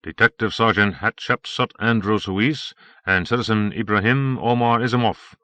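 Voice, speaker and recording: A man's voice: low-pitched, fairly even intonation, fairly quick, sharp tone, no room sound. A man voicing a suspicious detective sergeant in an audiobook, harsh, probing and formal. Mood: stern. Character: stern, suspicious male police detective sergeant. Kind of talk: audiobook character acting.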